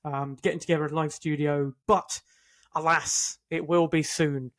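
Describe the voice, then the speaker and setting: A man's voice: fairly high pitch, level tone, normal speed, fairly bright tone. A British man co-hosting a podcast, talking in a chatty, informal, conversational way. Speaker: podcast co-host. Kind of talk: casual conversational chat.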